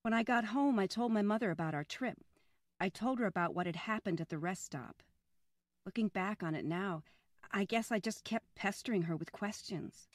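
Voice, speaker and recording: A woman's voice: mid-range voice, moderate pace, over music. An older woman from Philadelphia with an American accent, reading a scripted personal narrative as a storyteller. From Philadelphia, United States.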